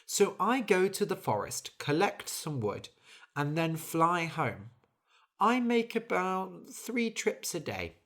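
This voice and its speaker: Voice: mid-range voice, big pitch swings, slow pace, a bit bright, voice pushed up, full character voice. A reader doing a weary hardworking bird. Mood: explaining. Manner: earnest, complaining. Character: hardworking female bird, tired and fed up.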